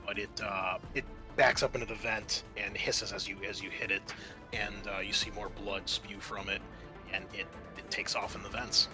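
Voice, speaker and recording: A man narrating a tabletop roleplaying game as game master, speaking haltingly with pauses mid-sentence. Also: fairly low pitch, level tone. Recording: music behind the voice. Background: background music.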